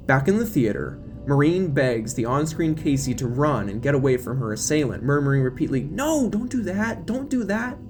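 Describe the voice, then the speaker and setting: Male narrator, mid-range pitch, scripted horror storytelling, dramatic reading, music behind.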